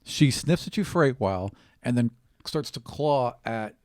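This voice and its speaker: Male game master, mid-pitched and highly expressive, improvising narration and cheering players on. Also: normal speed, dry recording, studio quality.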